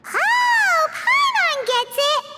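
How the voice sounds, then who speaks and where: A woman voicing a tiny, childlike fairy companion in a video game, squeaky, excitable and naive. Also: extremely slow, in a large hall, full character voice. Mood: excited, sure.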